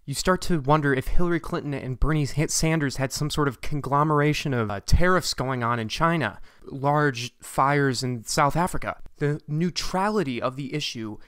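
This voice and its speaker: A man's voice: on the high side, normal speed, a bit bright, smooth, no echo, studio quality, natural voice. An American man playing an unhinged young conspiracy theorist who rambles in disjointed, pseudo-intellectual monologue. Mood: earnest rambling. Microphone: close studio microphone on desk.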